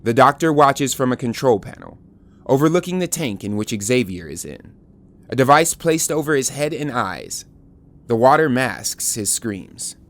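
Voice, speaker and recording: A man's voice: medium pitch, very animated, normal speed. A man giving dramatic scripted narration, grave and portentous in delivery. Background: dramatic music.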